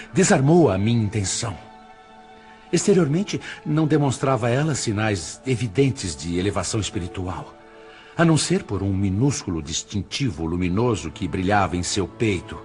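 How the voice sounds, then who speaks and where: A man's voice: medium pitch, natural voice. An actor playing an older man's spirit, a father-in-law confessing his inner conflict in a formal, emotive audiobook dramatization. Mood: moved, reflective.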